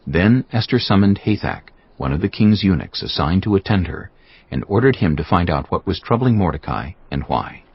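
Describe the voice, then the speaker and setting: Very deep, gravelly male scripture narrator. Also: sing-song, moderate pace, muffled recording.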